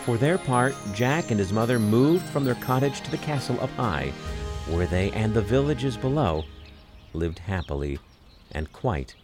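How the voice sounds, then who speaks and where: A man reading a scripted fairy tale for radio as narrator, in a measured, expressive storytelling manner. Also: mid-range voice. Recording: music under the voice.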